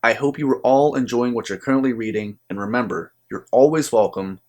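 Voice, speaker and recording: An American man presenting a scripted book review to viewers as the channel's host, speaking in an earnest, measured way with emphatic phrasing. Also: mid-range voice, a little mellow. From United States.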